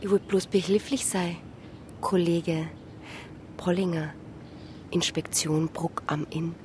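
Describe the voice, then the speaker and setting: A woman's voice: fairly low pitch, fairly even intonation, slow, darkish tone, a little hiss or hum. An actress playing a wry, unflappable policewoman with a Bavarian accent in a radio crime drama, speaking colloquially. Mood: coolly evasive. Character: female Bavarian police officer, dry, quick-witted, unflappable. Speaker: police officer.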